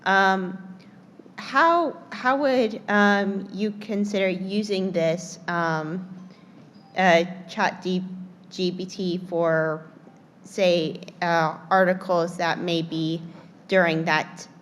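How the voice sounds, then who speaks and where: A woman in the workshop audience asking a question, halting and hesitant with many fillers and restarts. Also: on the low side, fairly even intonation, fairly bright tone, in a large hall. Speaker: workshop participant.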